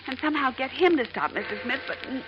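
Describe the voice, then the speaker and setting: A woman's voice: sits high, expressive, quick pace, dull audio. An actress playing a determined, agitated woman newspaper reporter with urgent, dramatic delivery in 1940s radio style. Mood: dismayed. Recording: hissy 1940s radio recording.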